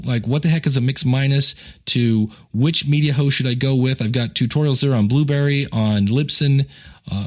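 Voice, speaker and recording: A man hosting a podcast in a casual, chatty way, rambling into joking, self-deprecating asides. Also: mid-range voice. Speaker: podcast host and podcasting teacher. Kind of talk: casual solo podcast talk with plugs and announcements.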